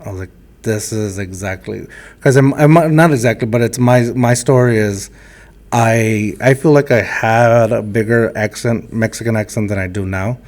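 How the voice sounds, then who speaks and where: A young adult man from a Texas border town, hosting a podcast in a casual, halting way full of restarts. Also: fairly low pitch, darkish tone. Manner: halting, many restarts and fillers. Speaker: podcast host. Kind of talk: casual interview chat.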